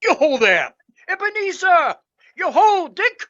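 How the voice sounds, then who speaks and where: A woman acting a jolly, hearty old gentleman employer in a radio play, hallooing and exclaiming exuberantly. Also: very high-pitched, sing-song, unhurried, highs cut off, heavily acted. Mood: jovial, rallying.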